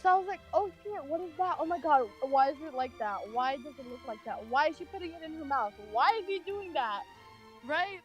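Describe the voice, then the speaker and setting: A woman's voice: high voice, expressive, brisk, lo-fi sound, soft background music. A young American woman telling a personal story casually, in a rambling way peppered with "like". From California, United States. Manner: rambling, heavy use of filler "like".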